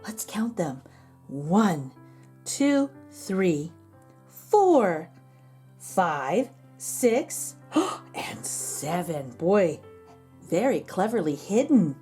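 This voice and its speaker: A woman reading a children's picture book aloud as a storyteller, with measured, expressive rhyming delivery. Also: fairly low pitch, faint music behind.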